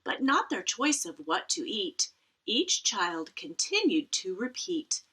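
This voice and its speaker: A woman reads a children's story aloud with lively swings in pitch and dramatic emphasis. She takes her time over the lines, and her bright voice has a breathy, husky edge. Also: slow. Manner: animated, dramatic emphasis with playful asides. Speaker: teacher reading a picture book aloud.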